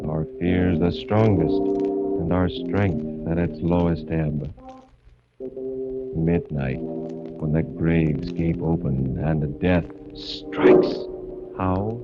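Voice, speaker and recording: An actor playing an ominous, theatrical host of midnight horror tales in 1940s radio style. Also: male voice, low-pitched, extremely slow. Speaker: series host. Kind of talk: 1940s radio acting, spooky introduction. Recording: muffled vintage radio broadcast, loud music.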